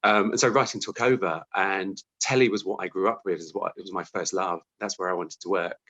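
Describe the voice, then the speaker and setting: A man's voice: deep voice, fairly even intonation, fairly quick, fairly bright tone, highs cut off. A British man giving chatty, self-deprecating, conversational answers as an interview guest.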